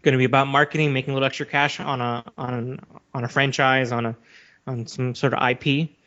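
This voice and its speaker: A man's voice: mid-range voice, level tone, a little fast, fairly bright tone. A man co-hosting a podcast, discussing his opinions casually in an assertive, emphatic manner. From Western country. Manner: assertive, emphatic, steers the talk. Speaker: podcast co-host. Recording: dull lo-fi audio.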